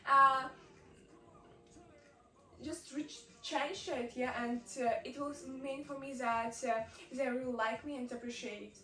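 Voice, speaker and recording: High-voiced young Ukrainian woman, echoey. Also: fairly even intonation, slow pace, sharp tone, far from the mic, soft background music. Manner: hesitant, filler-heavy, eager. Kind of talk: casual chat.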